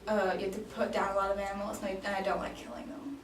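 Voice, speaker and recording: A woman's voice: mid-range voice, little pitch movement, rapid-fire. A woman in her late twenties, from Denmark, answering questions in a casual mock job interview, hesitant with many ums and restarts. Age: 20s. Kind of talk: casual improvised role-play interview. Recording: echoey room, mic at a distance, faint noise.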